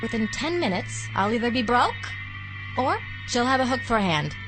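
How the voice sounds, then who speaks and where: A woman's voice: on the high side, very animated, moderate pace, music in the background. A woman playing a daring gambler relishing a gruesome bet in a 1940s-style radio horror play. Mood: taunting.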